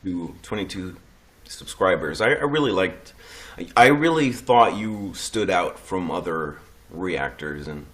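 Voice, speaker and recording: A man's voice: low voice, expressive, unhurried, a bit bright. A man from the Netherlands chatting casually as a guest, hesitant, often restarting his sentences and swearing offhandedly. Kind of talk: casual chat.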